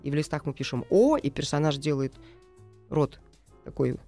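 A woman's voice: sits low, lively intonation, normal speed, dark tone, soft background music. A woman speaking as an interview guest, explaining a craft step by step with examples. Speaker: guest animation director and screenwriter.

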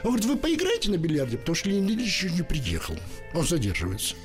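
An older man from Ukraine, an interpreter, telling a story in a radio interview, lively and acting out the voices he quotes. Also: high-pitched, rapid-fire, faint music behind.

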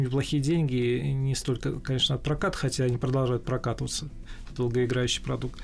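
A man explaining things as an interview guest in an assured, businesslike, matter-of-fact manner. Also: medium pitch. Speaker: interview guest, animation studio head.

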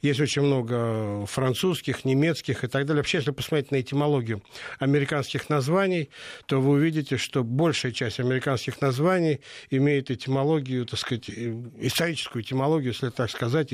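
A man's voice: medium pitch, fairly quick, no room sound. A middle-aged man giving interview answers, talking with hesitations, frequent fillers and restarts. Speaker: interview guest.

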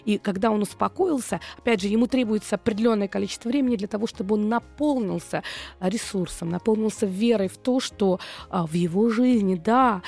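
A female radio psychologist, slightly unwell, speaks in the studio with a noticeably gravelly, rough timbre. She talks gently and soothingly, often pausing on "uh" while she chooses her words. Quiet music plays faintly in the background. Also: medium pitch. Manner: calm, gentle counseling tone with many hesitations. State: slightly ill. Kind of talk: radio call-in advice talk.

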